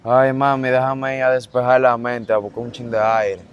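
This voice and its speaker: A woman's voice: very deep voice, little pitch movement, moderate pace, highs cut off, a little hiss or hum, pitched below the performer's own voice. A moping, whiny young man. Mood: mopey. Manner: mopey, whiny. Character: sulking young adult son, moping.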